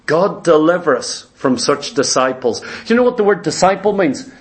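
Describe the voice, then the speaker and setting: A man's voice: fairly high pitch, animated, moderate pace. A man preaching a church sermon in an exhorting way, with rhetorical questions, emphatic repetition and bits of imagined dialogue.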